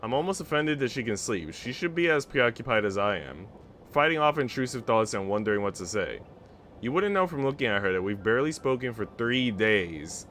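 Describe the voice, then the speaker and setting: A man's voice: medium pitch, big pitch swings, a little fast, a bit bright, slight background noise. A man livestreaming, mixing slangy, rambling casual chat and swearing with reading a visual novel aloud. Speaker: game streamer.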